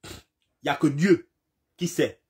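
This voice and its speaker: A man with a slight cold giving an impassioned political commentary, repeating himself and firing rhetorical questions, now and then rising to a shout. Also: fairly high pitch, takes it slowly.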